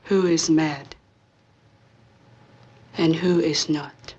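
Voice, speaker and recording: A breathy, rather low female voice plays a cool woman who speaks for a crime lord. Her is clipped and formal. She delivers it very slowly and sternly, as in an old film drama. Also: fairly even intonation, darkish tone, dull audio, exaggerated voice. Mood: grave, guarded. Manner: clipped broken English, measured, formal.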